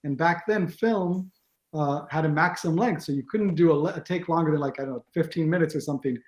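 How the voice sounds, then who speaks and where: Man, American accent, somewhat high voice, rambling chatty talk, echoey room, video call. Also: quick pace, a little mellow. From Israel. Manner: chatty, rambling, frequent fillers and restarts. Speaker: guest, team captain. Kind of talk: casual video-call interview.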